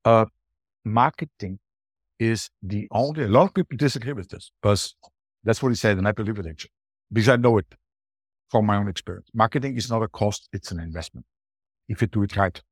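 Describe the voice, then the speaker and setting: A middle-aged or older Austrian man speaks in a low voice with a dry, close sound. His accent is that of a native German speaker. He explains things emphatically and conversationally, as a guest in an interview, often repeating himself for stress. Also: fairly quick, breathy edge, no echo. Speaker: podcast guest, booking strategist.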